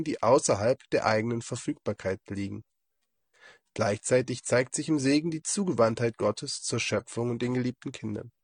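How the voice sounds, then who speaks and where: A man with a husky voice, hoarse from a cold, giving a calm, measured daily devotion as a lay preacher. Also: mid-range voice, dry recording. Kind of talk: devotional reflection.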